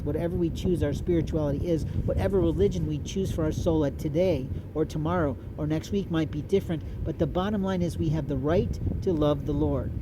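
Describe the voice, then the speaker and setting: An older man from the United States, a podcaster delivering a blunt, profane, repetitive rant. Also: on the high side. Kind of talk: angry ranting monologue. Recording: noisy outdoor street recording.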